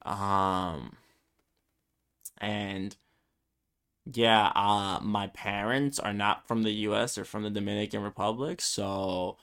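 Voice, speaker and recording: A man's voice: lowish voice, fairly even intonation, takes it slowly, long pauses, a bit bright, clear, resonant tone. A man in his twenties, a Spanish-speaking Brooklyn native, rambling alone on his podcast with many ums and restarts. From Brooklyn, New York. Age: 20s. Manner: rambling, self-deprecating, lots of ums and restarts. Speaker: podcast host. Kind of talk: solo casual podcast monologue.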